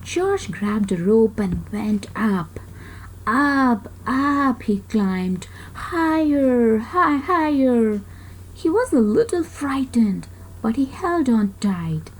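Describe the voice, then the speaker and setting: Animated, high-pitched woman reading a children's story. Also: breathy edge, low-quality recording. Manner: animated, dramatic pauses and emphasis. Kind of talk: expressive read-aloud narration of a children's story.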